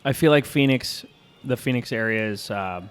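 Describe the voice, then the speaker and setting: A man's voice: mid-range voice, normal speed, clear, resonant tone. A man co-hosting a podcast, chatting casually and enthusiastically with a joking streak.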